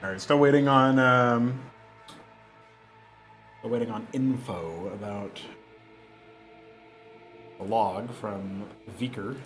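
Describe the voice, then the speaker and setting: A man's voice: lowish voice, takes it slowly, long pauses, fairly bright tone, soft background music. A young man chatting casually on a livestream, rambling with fillers and restarts, with a lingering cough. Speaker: streamer.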